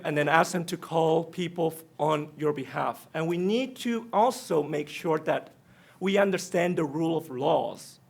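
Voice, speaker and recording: A man's voice: high-pitched, creaky. A man from Taiwan with a Taiwanese accent, speaking as a union treasurer candidate in a debate, direct and pointed. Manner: non-native phrasing, direct and pointed. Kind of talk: debate statement.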